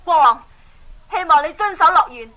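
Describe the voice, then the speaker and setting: A woman's voice: very high voice, normal speed, full character voice. A woman with a Hong Kong accent voicing a plucky, naive young male hero in a children's radio drama, eager and exclamatory. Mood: eager, bold. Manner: excitable, exclamatory.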